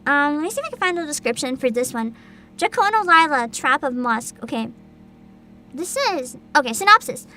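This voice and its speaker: A woman chatting casually as a livestream host, excitable and giggly, with dramatic emphasis. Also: high-pitched, brisk.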